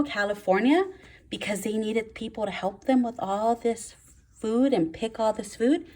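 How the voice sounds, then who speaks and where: A woman with a medium-pitched voice speaks as a first-grade teacher. She explains things to young children in simple words, stressing the key ones, in the style of a read-aloud lesson. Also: darkish tone.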